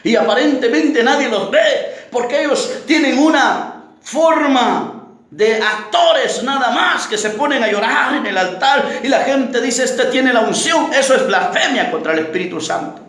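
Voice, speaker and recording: A man's voice: extremely high pitch, lively intonation, unhurried. A man preaching a fiery evangelical sermon, emphatic and declamatory, with repeated phrases and rhetorical questions. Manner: declamatory, often rising to shouts. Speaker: preacher, pastor. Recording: very echoey, reverberant room sound.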